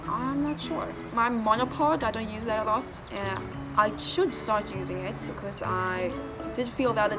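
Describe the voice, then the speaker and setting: Young woman answering quick questions. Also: mid-range voice. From Sweden. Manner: laughing often, unsure and thinking aloud. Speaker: interview guest. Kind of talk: casual Q&A, reading questions off a phone.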